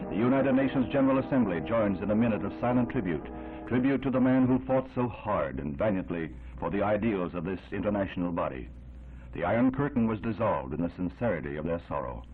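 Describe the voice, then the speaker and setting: Solemn American man narrating a tinny vintage newsreel. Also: on the low side. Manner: solemn, dramatic, declamatory delivery. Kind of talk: 1960s newsreel scripted narration. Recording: tinny band-limited vintage newsreel, music behind.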